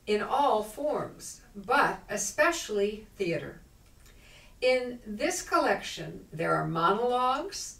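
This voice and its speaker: A woman's voice: mid-range voice, recorded from a distance. A woman presenter reading a scripted introduction with dramatic narration. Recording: echoey room, away from microphone.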